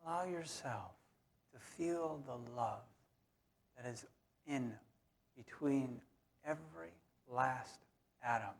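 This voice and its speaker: A man guides a meditation in a gravelly, creaky voice at a mid-range pitch. He speaks very slowly and soothingly, setting short phrases apart with long, unhurried pauses. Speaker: meditation leader.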